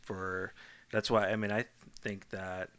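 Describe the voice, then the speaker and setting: A man's voice: low voice, little pitch movement, takes it slowly, fairly bright tone. A young man, a podcast guest, drowsy and spacey on painkillers, rambling casually in a loose, laid-back way. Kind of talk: casual chat.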